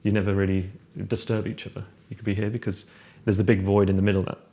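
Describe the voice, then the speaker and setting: A young architect chatting casually while showing a room in a house, rambling with many fillers and restarts. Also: male voice, fairly low pitch, flat delivery, quick pace, warm tone. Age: young adult. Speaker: architect guest. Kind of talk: casual chat, house tour. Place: large open-plan house living room, indoors.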